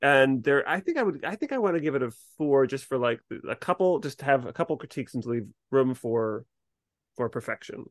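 Guy podcast cohost, casual review chat, no room echo, medium pitch. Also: expressive, fairly quick, clean studio sound. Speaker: podcast co-host.

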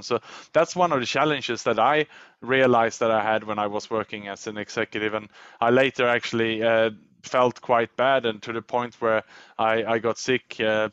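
A middle-aged man from Sweden speaks English as his second language, pausing often on "uh" as he thinks. His voice is smooth and resonant. The recording is dry and a little muffled. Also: lowish voice, a bit bright, no echo. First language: Swedish. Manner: measured, with frequent uh fillers. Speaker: podcast guest, author and speaker. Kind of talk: reflective interview talk.

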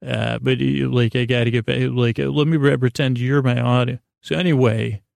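A man hosting a bedtime podcast, talking in a meandering, halting, silly way with frequent repeats and a creaky voice. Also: medium pitch, fairly even intonation, darkish tone, no room sound. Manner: silly, playful banter with an imaginary guest. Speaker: podcast host. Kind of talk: rambling bedtime podcast monologue.

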